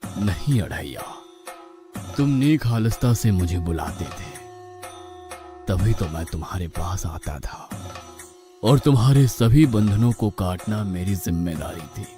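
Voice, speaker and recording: A man playing a patient, kindly god with a gently coaxing manner in an acted devotional story. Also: sits low, lively intonation, a little slow, over music. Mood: forgiving. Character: Lord Rama, patient, kindly male god.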